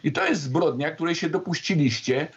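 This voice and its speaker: A man's voice: on the high side, animated, normal speed. A man speaking as a panel guest in a political debate, arguing in an accusatory way. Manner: argumentative, accusatory. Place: indoors on a video call. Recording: dull, muffled video-call audio.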